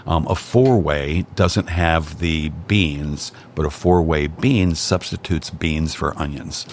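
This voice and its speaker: A man's voice: very deep voice, steady tone, slow pace, slight background noise. A man from Cincinnati, a guest in casual chat, joking in a dry, self-deprecating way. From Cincinnati, Ohio.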